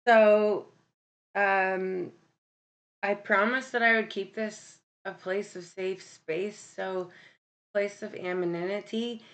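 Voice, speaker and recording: A woman with a deep voice hosting a casual live stream, talking candidly and bluntly with many ums and self-corrections. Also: fairly even intonation, takes it slowly. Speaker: livestream host. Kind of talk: casual live-stream talk about mental health.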